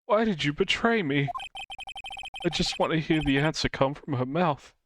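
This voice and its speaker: A man voicing an anguished, betrayed convict who speaks halting, clipped broken English in a live game dub. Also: a little high, animated, background noise, lightly acted. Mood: anguished, wounded. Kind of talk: live fan dub of a visual novel game. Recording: music under the voice.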